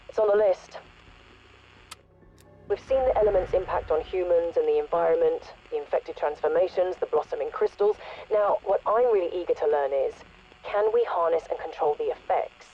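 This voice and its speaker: A woman's voice: fairly low pitch, husky. Inquisitive woman scientist, conversational and self-correcting, video game audio log, dull lo-fi sound. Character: earnest, curious woman scientist with a conscience. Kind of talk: acted audio log, thinking aloud.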